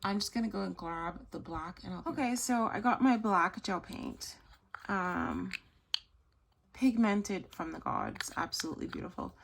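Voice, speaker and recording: Gushing woman with a creaky voice. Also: lowish voice, lively intonation, normal speed, slight background noise. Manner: chatty, gushing, self-correcting. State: slightly hoarse, losing her voice. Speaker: nail art tutorial host. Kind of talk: casual tutorial chat.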